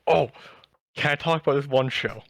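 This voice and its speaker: A man chats as a podcast co-host in a close, dry recording. His medium-pitched voice rambles through stories with plenty of enthusiasm, in loose everyday phrasing. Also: normal speed, vocal fry, a bit bright, no room sound. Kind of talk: casual chat about anime.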